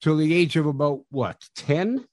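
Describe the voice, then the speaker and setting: A man's voice: a little high, animated, a little mellow, no room sound, clean studio sound. Man hosting a podcast interview. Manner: halting, repeats words. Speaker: podcast host.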